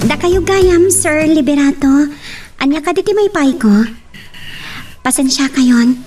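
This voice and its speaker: A high, girlish-pitched young woman played by an actress speaks a little way from the mic. She is respectful and tender in a radio-style romantic drama. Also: measured pace, music in the background. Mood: apologetic, respectful. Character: apologetic young woman Jamila, respectful, tender toward her beloved. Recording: distant from the mic.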